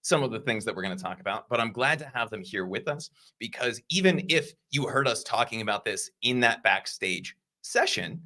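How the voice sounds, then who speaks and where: A man co-hosting a casual livestream podcast, energetic and enthusiastic, talking to the live chat through a desk mic on a video call. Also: mid-range voice, fairly quick, hardly any pauses. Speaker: podcast co-host.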